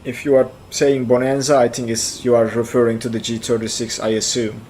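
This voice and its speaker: A man in his forties with a Turkish accent, a native Turkish speaker, talking in a relaxed live-stream way. He reads out chat and answers it, thinking aloud as he goes. His voice sits in a middling pitch. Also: a little fast, a little hiss or hum. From central Turkey. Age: 40s. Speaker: flight sim streamer.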